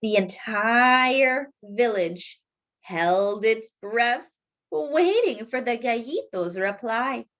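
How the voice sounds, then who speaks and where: A woman's voice: on the high side, expressive, extremely slow, a bit bright, muffled recording. A woman hosting a children's storytime, reading a picture book expressively and dramatically for young listeners. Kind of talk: animated picture-book read-aloud.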